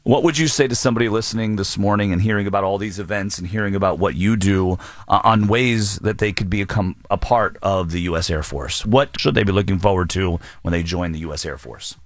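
Low-voiced male radio host. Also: brisk, hardly any pauses, dry recording. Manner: polite, prompting questions. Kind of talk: radio interview.

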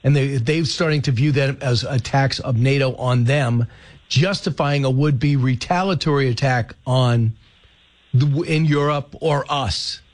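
A man hosting a talk radio show, chatting casually about politics and firing off questions with quick asides. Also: medium pitch.

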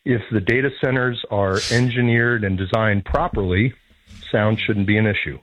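Polite, deliberate male caller, mid-pitched, on a dry tinny phone line. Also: slight background noise. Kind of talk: phone-in chat.

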